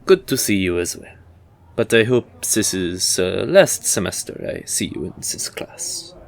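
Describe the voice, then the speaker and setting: A man playing a formal history professor in an audio roleplay, lecturing in a measured, deliberate manner. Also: on the low side, sing-song, vocal fry, full character voice. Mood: stern, dry. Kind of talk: roleplay audio drama acting.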